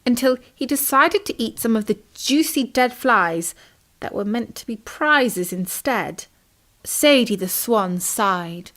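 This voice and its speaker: A British woman with a fairly high voice tells a children's story. Her reading is expressive, in the style of a bedtime audiobook.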